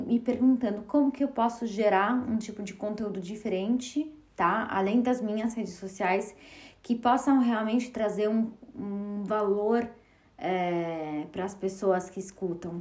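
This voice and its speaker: A woman's voice: mid-range voice, a little mellow. A woman in her thirties who hosts a podcast, speaking Portuguese with a light Italian accent and a chatty, emotional manner. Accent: Italian-tinged Portuguese. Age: 30s. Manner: chatty, emotional, with filler words and restarts. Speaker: podcast host. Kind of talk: casual solo podcast talk.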